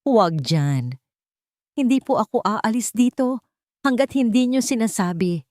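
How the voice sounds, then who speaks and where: A woman's voice: fairly high pitch, lively intonation, measured pace, a little mellow, dry recording, clean studio sound, pitched above the performer's own voice. A woman voicing a distraught young woman who pleads desperately in a story. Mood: desperate pleading.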